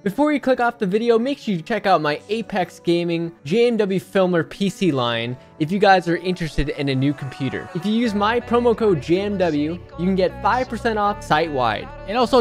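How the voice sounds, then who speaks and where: A man's voice: sits high, expressive, brisk, hardly any pauses, soft background music. A man speaking as a video host in a promotional outro addressed to viewers. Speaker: YouTube host.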